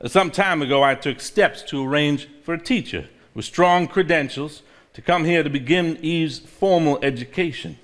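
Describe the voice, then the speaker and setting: An actor playing a composed, measured man who reads and talks in a live stage play. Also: on the high side, lightly acted. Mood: formal, measured. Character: composed, conspiring man reading an old diary aloud. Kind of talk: live stage play acting, formal reading aloud.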